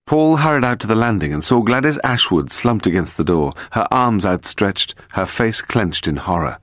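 A man with a British accent reading a detective story as scripted narration, in a measured, dramatic storytelling manner. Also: lowish voice, animated, fairly bright tone, no room sound, clean studio sound. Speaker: audiobook narrator.

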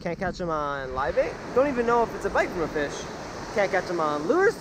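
A man fishing from a beach and talking to an action camera, chatty and self-mocking, with bursts of excitement whenever he thinks a fish is on. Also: sits high, brisk. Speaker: fishing vlogger. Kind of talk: self-deprecating casual vlog narration. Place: beach surf, outdoors. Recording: windy outdoor beach audio with surf noise. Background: wind and crashing waves.

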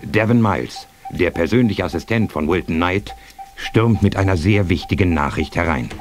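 A man with a deep voice reads scripted narration for a radio drama. Prominent music plays behind him as he tells the story. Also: moderate pace.

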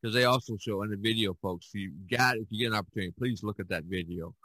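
A man in his sixties hosting a casual podcast conversation, speaking haltingly and often repeating phrases. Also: fairly low pitch, bright, forceful tone, dry recording, rough recording. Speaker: podcast host.

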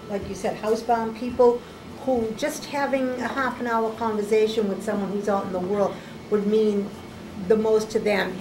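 A woman in the audience commenting earnestly and emphatically. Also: medium pitch. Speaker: audience member. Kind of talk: casual comment. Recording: echoey room with noise.

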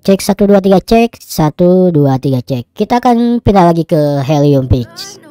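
A man's voice: lively intonation, moderate pace, dark tone, clean tone. A man counting off mic checks through a voice changer that shifts him up into a woman's range. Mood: testing. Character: artificial woman's voice, lively and mellow. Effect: voice changer pitching the voice up to a female range.

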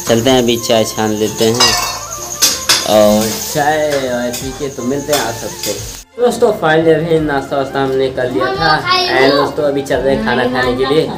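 Young village vlogger with an Uttar Pradesh Hindi accent, casual and chatty, on a handheld phone mic. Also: male voice, mid-range voice, poor audio. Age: young adult. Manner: chatty, repeatedly addresses viewers as friends. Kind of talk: casual vlog narration. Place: rural village, indoors and outdoors in fields. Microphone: phone camera at arm's length.

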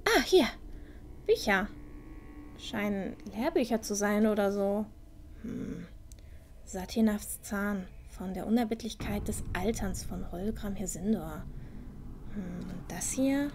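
A woman's voice: medium pitch, slow, long pauses, darkish tone, slight background noise. A woman playing a curious, adventurous young village girl in a fantasy audio drama, often muttering to herself. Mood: curious, musing.

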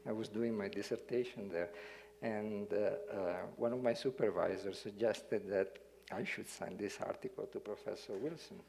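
A man's voice: lowish voice, normal speed, gravelly, darkish tone, slight background noise. A man from Italy with an Italian accent, giving an academic lecture in a hall, hesitant with fillers when speaking freely and reading parts of it aloud. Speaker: guest lecturer.

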